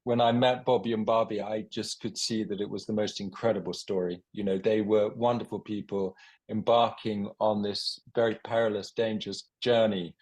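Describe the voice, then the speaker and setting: A man who grew up in Uganda, a film director answering interview questions in an earnest, measured way. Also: on the low side, level tone, darkish tone.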